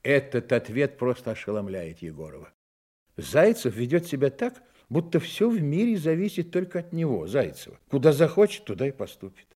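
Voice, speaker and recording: A man narrates a radio play in a dark, mellow voice of medium pitch. His delivery is dramatic and highly varied in intonation. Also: big pitch swings, normal speed.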